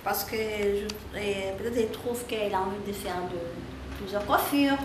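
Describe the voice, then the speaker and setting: A woman's voice: medium pitch, measured pace. A woman from the Comoros, a hairdresser talking casually and proudly about her salon while she works, often repeating words. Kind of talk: casual interview talk while working. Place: hair salon. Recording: echoey room, distant mic, background noise.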